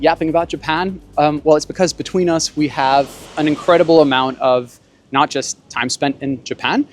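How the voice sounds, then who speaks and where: A man from Germany and Bolivia hosting a panel, talking in a casual, explanatory way. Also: a little high. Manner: conversational, explanatory. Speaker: host, panel moderator.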